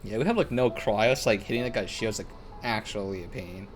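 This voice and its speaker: A man's voice: fairly low pitch, fairly quick, background noise. A man giving casual, slangy live commentary as he streams a game. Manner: offhand, slangy. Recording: game music behind voice.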